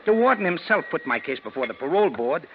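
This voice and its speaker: An actor playing a nervous, slangy American ex-convict in a 1940s radio crime drama, pleading and hesitant. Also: male voice, on the high side, very animated, fast. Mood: insistent. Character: nervous, fast-pleading male ex-convict, slangy small-time crook. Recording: dull, noisy vintage radio recording.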